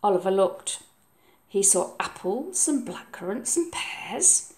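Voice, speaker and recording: A woman reading a children's story aloud in a measured, expressive way. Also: mid-range voice. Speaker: storybook reader.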